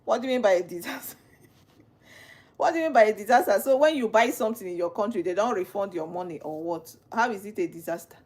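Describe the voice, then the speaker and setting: A woman's voice: medium pitch, a little fast, darkish tone. A woman with a Nigerian accent, a legal practitioner hosting a live stream, reading out viewer comments and questioning them in a teasing way. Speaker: livestream host, legal practitioner.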